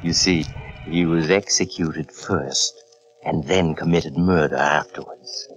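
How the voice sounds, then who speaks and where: Very deep, sinister radio storyteller. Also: male voice, steady tone, muffled recording. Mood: courtly, ominous. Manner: theatrical, deliberate, ominous. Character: sinister, courtly male storyteller of strange tales. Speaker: host and narrator. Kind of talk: 1940s radio horror narration. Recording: old radio recording, loud music behind.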